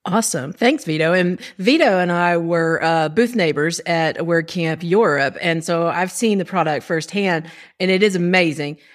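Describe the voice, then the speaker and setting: A woman's voice: on the low side, moderate pace, hardly any pauses. A woman co-hosting a casual podcast, friendly and lightly teasing as she introduces guests. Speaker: podcast co-host.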